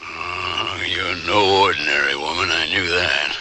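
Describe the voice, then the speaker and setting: An actor playing a rough, wry mountain man, rustic and blunt, in an old radio drama. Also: low voice, fairly even intonation, a little slow. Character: rough, wry frontier mountain man, whiskey-drinking outlaw. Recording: noisy vintage radio drama recording.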